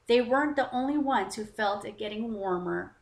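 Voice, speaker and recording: Higher-voiced woman reading to children, roomy sound. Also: normal speed, creaky. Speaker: children's story time host and narrator. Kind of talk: expressive children's read-aloud narration. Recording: roomy, echoing voice recording.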